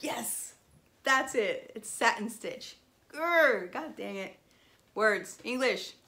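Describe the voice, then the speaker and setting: A woman's voice: a little high, animated, slow pace, sharp tone. A woman whose first language is Spanish, chatting in a bubbly, gushing way as she shows off her crafts. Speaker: crafting vlogger. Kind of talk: casual show-and-tell chat.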